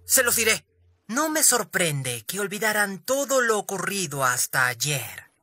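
Young male braggart for anime fandub, played by a woman; highish, piercingly bright, theatrical, cowardly, swinging wildly in pitch. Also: big pitch swings, measured pace, no echo, studio quality, exaggerated voice. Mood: boastful. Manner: theatrical, dramatic, easily panicked.

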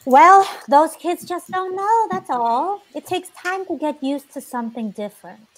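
A high-pitched, strict sitcom mother. She is proud and blunt, stating things emphatically and matter-of-factly, as in a table read over a video call. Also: expressive, measured pace, rough recording. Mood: firm, exasperated. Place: indoors at home on a video call. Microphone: video call, earphones.

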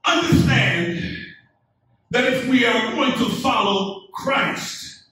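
A middle-aged man preaching a sermon in unhurried, deliberate phrases, his voice sitting unusually high for a man. He builds to emphatic peaks that invite call-and-response. The sound is heavily echoey, as if heard from the back of a church sanctuary. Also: bright, forceful tone, far from the mic, a little hiss or hum. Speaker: pastor. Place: church sanctuary, indoors, medium-sized.

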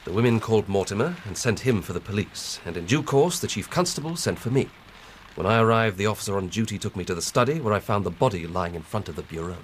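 An actor playing an earnest, methodical English police inspector in a radio drama, husky and deferential as he reports. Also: on the low side, expressive, a little hiss or hum, natural voice. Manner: measured, deferential reporting of evidence. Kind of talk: BBC radio drama acting.

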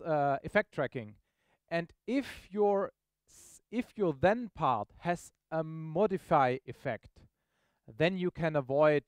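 Male tech presenter, high clear voice, informal and explanatory, dry close sound. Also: no echo. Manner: informal, explanatory, checks in with questions. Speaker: software presenter. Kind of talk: live technical demo to an audience. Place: meetup presentation room, on stage.

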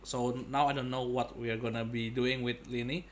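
A man's voice: mid-range voice, little pitch movement, moderate pace, a little hiss or hum. A young man giving casual, playful reaction commentary as a game streamer, with hesitant asides. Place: indoor room at desk, webcam stream. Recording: game music faintly behind.

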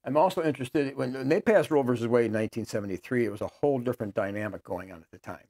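Halting middle-aged American man hosting. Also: medium pitch, mellow. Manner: halting, searches for words. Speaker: host. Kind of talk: casual interview conversation. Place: small book-lined room indoors. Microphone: lapel mic.

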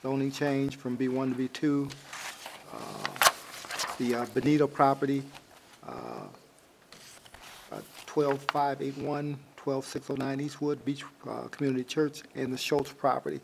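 Male committee member, American, hesitant delivery, gravelly mid-range voice, faint background noise. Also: mid-range voice, unhurried. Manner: hesitant, groping for words. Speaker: village trustee, committee chair. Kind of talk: halting committee report. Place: indoor village board meeting room.

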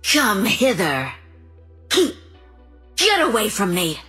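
A woman's voice: medium pitch, sing-song, pushed and bright, slightly rough, faint music behind, full character voice. A woman playing a cold, seductive, bloodthirsty assassin in a video game, speaking in a haughty, menacing way. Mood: taunting, seductive, then fierce. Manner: haughty, menacing, slow and deliberate. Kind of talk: video game character voice lines.

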